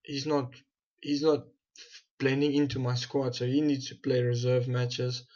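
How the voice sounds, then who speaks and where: A man's voice: medium pitch, flat delivery, unhurried, darkish tone, highs cut off. A young man with a South African accent, talking casually through his football management game as a gaming channel host and often correcting himself as he goes. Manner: thinking aloud, restarts and self-corrections. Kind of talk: casual gameplay commentary.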